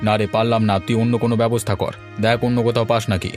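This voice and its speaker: A man's voice: medium pitch, little pitch movement, rapid-fire, darkish tone, faint music behind, close to an everyday voice. A man voicing a stingy rich neighbour in a cartoon, harsh, brusque and scolding with contempt. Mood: contemptuous. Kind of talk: Bengali cartoon voice acting.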